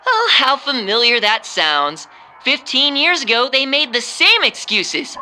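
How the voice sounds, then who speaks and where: A woman's voice: high voice, big pitch swings, a little slow, sharp tone, full character voice. A woman playing an emphatic, insinuating TV news anchor reading dramatic bulletins in a video game. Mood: grave, insinuating. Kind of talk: dramatic scripted news broadcast.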